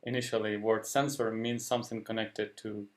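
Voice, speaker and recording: A man with a Ukrainian accent, a Ukrainian native speaker, talking in a halting way and often stopping to search for words. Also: lowish voice, flat delivery. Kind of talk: casual discussion.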